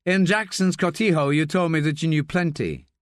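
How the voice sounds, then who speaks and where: A man's voice: a little high, moderate pace, dry recording, clean studio sound, pitched above the performer's own voice, lightly acted. A man doing a probing, persistent investigator. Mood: cool, insistent. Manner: probing, pressing questions.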